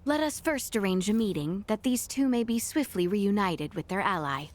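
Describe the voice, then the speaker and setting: A woman playing a poised, determined young princess in a video game, speaking in formal, courtly, measured diction. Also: mid-range voice, animated, close to an everyday voice. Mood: composed, firm. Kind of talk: scripted video game acting.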